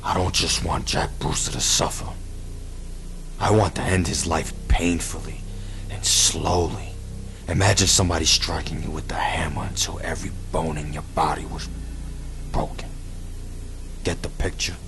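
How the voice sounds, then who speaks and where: An actor playing a bitter, menacing scarred gangster boss in old-time radio drama style, with a gravelly voice. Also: male voice, a bass voice, level tone, normal speed, pauses often, a bit bright, full character voice. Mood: cold menace. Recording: music bed under radio drama.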